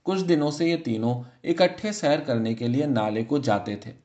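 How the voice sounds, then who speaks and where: A man reading a literary short story as an audiobook narrator, in a measured, expressive storytelling manner. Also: medium pitch, muffled recording.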